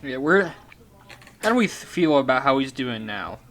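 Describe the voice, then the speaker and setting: A man's voice: medium pitch, big pitch swings, moderate pace, fairly bright tone. A teenage boy hosting a casual podcast chat, hesitant and full of fillers and restarts. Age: teens.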